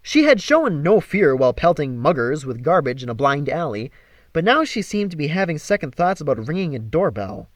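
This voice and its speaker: Animated man reading a story, dry close sound. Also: a little high, brisk, dry recording. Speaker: amateur audiobook reader. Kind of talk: expressive scripted story narration.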